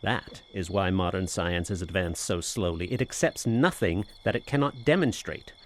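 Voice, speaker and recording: An actor playing a skeptical, formal gentleman in a suspense radio drama, speaking in measured, literary phrases. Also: low voice, a little hiss or hum, close to an everyday voice. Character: skeptical, formal gentleman summoned as friend and narrator. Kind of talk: old-time radio suspense drama acting.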